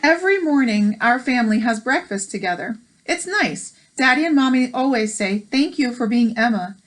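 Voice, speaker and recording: A woman's voice: fairly high pitch, expressive, normal speed. An American woman hosting a read-aloud, reading a picture book with chatty, encouraging asides.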